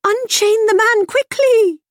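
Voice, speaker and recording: A woman voicing a wise magical mare who gives brisk, commanding orders. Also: extremely high pitch, no echo, clean studio sound, voice pushed up.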